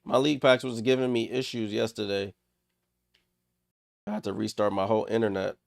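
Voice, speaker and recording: Male stream host, gravelly and a bit under the weather, clipped live play-by-play with chatty asides to chat, dry room. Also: lowish voice, flat delivery, fairly quick, dry recording. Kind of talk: casual live play-by-play commentary.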